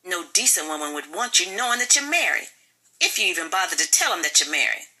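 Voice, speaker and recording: Woman acting out a scornful, bitter wife, sharp and forceful. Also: lowish voice, very animated, fast, breathy edge, heavily acted. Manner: sarcastic, biting, colloquial. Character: angry, scornful, accusing wife. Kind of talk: audiobook character dialogue.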